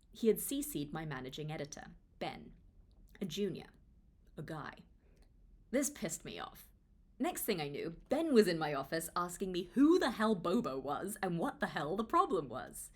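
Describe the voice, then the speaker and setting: A woman's voice: medium pitch, husky. A young woman reading her own book aloud as its author, in an informal, conversational storytelling manner with casual asides. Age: young adult. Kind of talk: audiobook-style read-along with casual asides.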